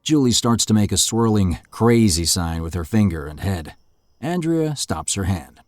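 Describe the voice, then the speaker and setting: Low-voiced man narrating a script. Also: moderate pace, no echo, clean studio sound. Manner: steady, matter-of-fact reading. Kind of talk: scripted narration of stage directions.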